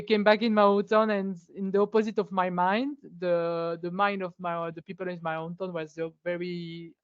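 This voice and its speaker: A woman's voice: fairly low pitch, clear, resonant tone, dry recording. A woman who is a local politician, searching for words as she gives her testimony in a webinar. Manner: searching for words, non-native English. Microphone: video call. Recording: dry, dull video call audio.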